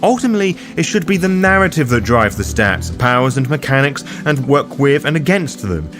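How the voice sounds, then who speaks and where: A man delivers scripted guide narration with a very animated, varied melody to his speech. His manner is theatrical and playful, with dry asides slipped in. Music plays clearly behind his voice, which sits at an ordinary male pitch. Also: brisk. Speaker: host of a roleplaying lore guide series. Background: background music.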